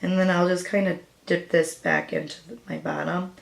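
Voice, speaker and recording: Raspy young woman doing a makeup vlog, gravelly voice, roomy echo. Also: fairly low pitch, little pitch movement, moderate pace. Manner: chatty, hesitant, with fillers and apologies. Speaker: beauty vlogger. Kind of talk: casual talk-through tutorial.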